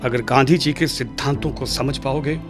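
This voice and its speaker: A man playing a wise, patient father in a radio drama, teaching his son in a measured, gently instructive way. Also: mid-range voice, lightly acted. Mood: earnest, instructive. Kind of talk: radio drama acting in Hindi. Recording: music under the voice.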